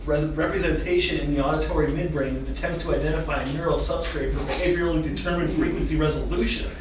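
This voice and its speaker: A man's voice: a little high, fairly even intonation, fast, heavy reverb, close to an everyday voice. Guy reading a chill bar buddy who nerds out explaining stuff, noisy room, mic far away. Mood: easygoing. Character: friendly young man, former neurobiology student.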